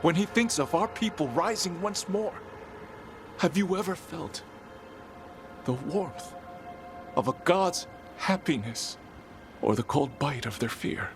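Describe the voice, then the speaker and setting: An actor playing a devout, proud elven zealot in a game cutscene, speaking in a formal, grave and fervent manner. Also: male voice, fairly high pitch, expressive, slow pace, long pauses. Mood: fervent, defiant. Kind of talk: dramatic video game cutscene acting. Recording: loud background music.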